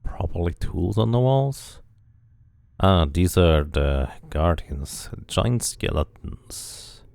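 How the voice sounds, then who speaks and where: Male streamer, low pitch, lively intonation, gravelly creak, storyteller read-aloud, muttered casual asides. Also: slow, dry recording. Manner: reads narration aloud, mutters asides to self. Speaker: video game streamer. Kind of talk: casual playthrough with dramatic read-aloud of game text.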